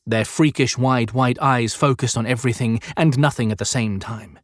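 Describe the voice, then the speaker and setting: A man narrating an audiobook in a theatrical, suspenseful style with deliberate emphasis. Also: mid-range voice, steady tone, dry recording, studio quality. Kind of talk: dramatic scripted fiction narration.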